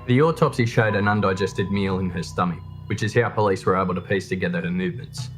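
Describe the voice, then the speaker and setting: A man narrates a true crime documentary from a script. His voice sits low in pitch. Soft music plays behind him. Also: fast.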